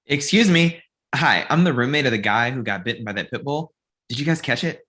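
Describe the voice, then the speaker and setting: A man's voice: mid-range voice, lively intonation, fairly quick, bright, forceful tone. A man playing a concerned, cautious roommate in a script read. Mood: urgent. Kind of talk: screenplay table read.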